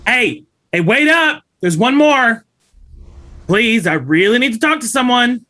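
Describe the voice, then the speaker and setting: A man's voice: very high voice, animated, sharp tone, full character voice. A man playing an anxious, polite young college student, pleading and hesitant, in a script table read. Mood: urgent, pleading. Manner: hesitant, halting.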